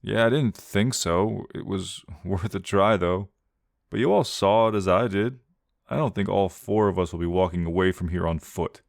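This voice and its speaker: A man's voice: sits low, moderate pace, vocal fry, no echo. Man as a dry, stubborn young man. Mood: obstinate. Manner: dry, clipped.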